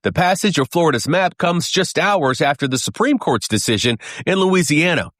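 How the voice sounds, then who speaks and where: Higher-voiced American male news reporter. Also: expressive, moderate pace, fairly bright tone, dry recording, studio quality. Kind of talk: scripted news narration.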